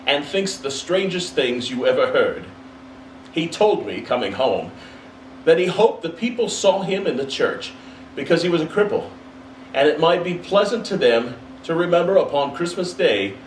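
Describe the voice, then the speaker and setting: A man voicing a fond, gentle father of a poor family in a storybook reading, tender and earnest. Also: a little high. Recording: roomy, distant mic, faint hiss.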